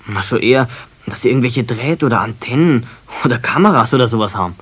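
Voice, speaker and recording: An actor playing a guilty, sheepish teenage apprentice in a children's radio play, with a husky voice, a Bavarian accent and a defensive, whiny manner. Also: male voice, medium pitch, lively intonation, quick pace, a bit bright. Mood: puzzled.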